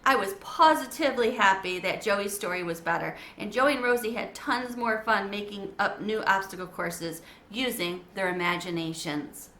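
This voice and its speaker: A woman reading a children's book aloud in an expressive storybook manner. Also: mid-range voice, normal speed, few pauses, slight background noise. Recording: echoey room.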